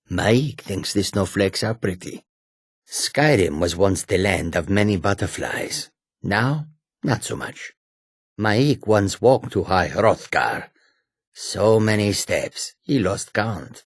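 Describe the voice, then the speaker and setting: A man voicing a sly, whimsical male cat-folk wanderer in a video game, with a raspy, husky voice, deliberate clipped phrasing. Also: deep voice, no room sound, studio quality, exaggerated voice. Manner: speaks of himself in third person, deliberate, clipped phrasing. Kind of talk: video game character dialogue.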